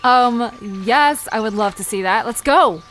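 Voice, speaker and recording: A woman's voice: on the high side, a little slow, a bit bright, soft background music. A woman playing a curious, eager, chatty young visitor in a conversational audio drama. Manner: inquisitive, reactive. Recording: background noise with outdoor ambience.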